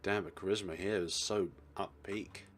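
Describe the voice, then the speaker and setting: A man's voice: low voice, steady tone, moderate pace, slight background noise. A man hosting a let's play, reading visual novel text aloud with casual commentary.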